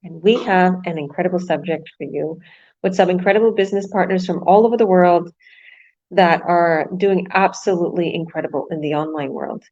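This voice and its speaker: A woman hosting an online business talk, pitching with enthusiastic, repetitive phrasing. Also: fairly quick, a little mellow, no echo, highs cut off. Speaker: host. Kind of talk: motivational online show host.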